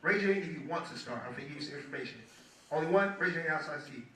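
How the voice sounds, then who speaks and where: Hoarse young man talking to a crowd. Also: fairly high pitch, animated, rapid-fire, pushed and bright, slight background noise. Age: 20s. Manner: loose and chatty, keeps asking the crowd "you feel me". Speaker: guest speaker, music producer. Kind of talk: casual motivational talk to an audience. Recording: echoey hall, mic at a distance.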